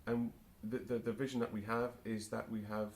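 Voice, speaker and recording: Interview guest, forties, London accent, low gravelly voice, halting delivery. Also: male voice, flat delivery. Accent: London English. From England. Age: 40s. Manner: hesitant, many restarts and fillers. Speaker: marketing automation specialist, guest. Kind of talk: casual interview answers.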